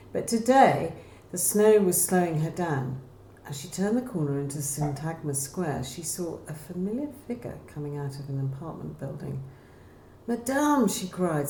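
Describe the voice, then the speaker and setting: Low, mellow woman reading aloud. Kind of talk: scripted narration, reading a novel prologue. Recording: roomy echo, faint background noise.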